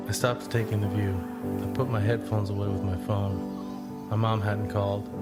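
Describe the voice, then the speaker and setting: An actor playing a young long-distance traveler, narrating in the first person in an understated, introspective way for an audio drama. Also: male voice, on the low side, natural voice. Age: young adult. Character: reflective young long-distance walker telling his journey. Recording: prominent music bed under the voice.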